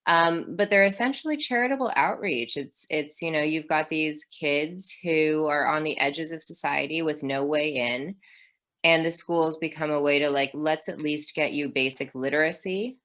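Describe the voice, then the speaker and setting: A woman historian in her thirties speaks as an interview guest in a low voice. She thinks aloud as she goes, with many ums and small restarts. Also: moderate pace, fairly bright tone. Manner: thoughtful, with many fillers. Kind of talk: academic interview.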